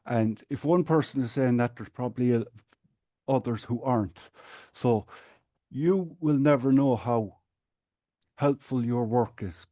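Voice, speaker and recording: An Irish man talks in a measured, explaining way, often restarting a phrase. His voice is mellow at a middle pitch. The sound is dry and close. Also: no room sound. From Ireland. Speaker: guest, support group administrator. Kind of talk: earnest conversational interview.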